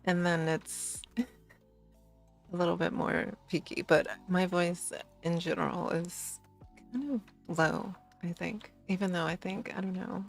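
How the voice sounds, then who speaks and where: A tired woman with a slightly raspy low voice, chatting in a sleepy, giggly, rambling way as a streamer on a late-night stream. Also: fairly even intonation, unhurried, soft background music. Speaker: VTuber streamer.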